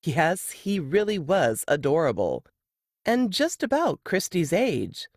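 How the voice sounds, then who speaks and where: A woman's voice: lowish voice, sing-song, slow pace, darkish tone, no echo, clean studio sound. A woman reading a novel aloud as scripted third-person narration. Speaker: novelist reading their work.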